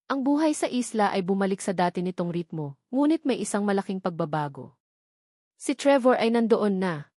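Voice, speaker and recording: A woman narrating a scripted romantic drama in a measured, sentimental storytelling manner. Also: medium pitch, a little mellow, no echo, clean studio sound.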